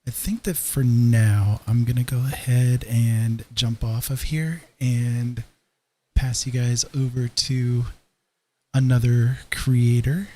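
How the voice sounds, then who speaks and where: A man with a mellow, relaxed tone hosts a livestream. He chats casually, musing aloud in an easygoing manner at an average pitch. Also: takes it slowly. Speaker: livestream host.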